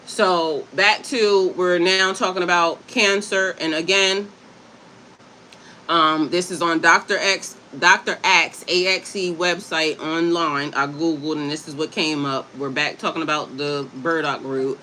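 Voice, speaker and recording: Bright, chatty woman livestream host. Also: sits low, steady tone, a little slow, slight background noise, rough recording. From Delaware, USA. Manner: chatty, addresses viewers directly, stumbles over and spells out hard words. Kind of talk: casual livestream chat, reading aloud from a website.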